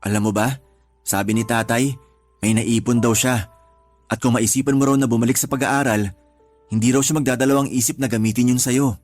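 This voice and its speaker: A man playing a devoted, supportive younger brother in a radio drama, conversational, reassuring and given to gentle teasing. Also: mid-range voice, fairly even intonation, brisk, pauses often, lightly acted. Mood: reassuring, earnest. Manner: earnest, reassuring, lightly teasing. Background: background music.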